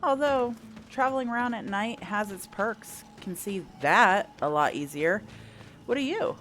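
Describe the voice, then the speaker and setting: A woman's voice: medium pitch, lively intonation, unhurried, a bit bright, a little hiss or hum. A woman doing casual playthrough commentary, thinking aloud and asking herself questions as she goes. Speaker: gameplay host.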